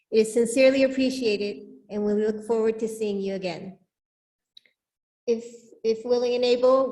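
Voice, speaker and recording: A woman's voice: fairly high pitch, a little mellow. A woman leading a church service, reading out formally to the congregation. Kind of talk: read benediction and announcements. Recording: echoey hall with long reverb.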